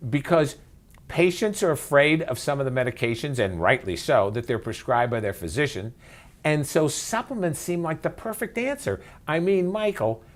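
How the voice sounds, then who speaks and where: Emphatic man, mid-pitched expert guest. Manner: emphatic, rhetorical questions, folksy asides. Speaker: physician and author, interview guest. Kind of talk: conversational expert interview.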